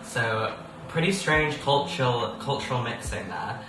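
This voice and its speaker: A man's voice: medium pitch, animated, unhurried, music in the background. A sixteen-year-old man hosting a casual podcast, playful and rambling, laughing often as he talks. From England. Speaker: podcast host. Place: indoors at a table. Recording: roomy sound from a distance with background noise.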